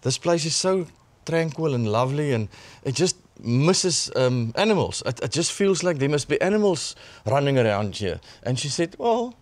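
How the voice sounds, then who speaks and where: Hoarse South African man, lively chat. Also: fairly high pitch, normal speed, few pauses. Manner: playful, laughing, joking. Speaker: vlogger touring a guesthouse. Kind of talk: casual walkthrough chat.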